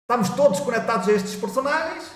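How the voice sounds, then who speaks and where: A man's voice: very high-pitched, expressive, fast talker, roomy sound, faint music behind. A man with a European Portuguese accent giving a rambling, repetitive spiritual talk, restarting his sentences and mocking people's excuses. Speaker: spiritual video host. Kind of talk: informal spiritual monologue.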